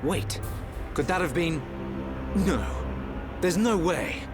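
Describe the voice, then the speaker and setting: A proud young prince talks in formal, clipped phrases. His fairly high voice is lively and varied, set against loud music. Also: slow pace. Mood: alarmed. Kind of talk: scripted fantasy game drama.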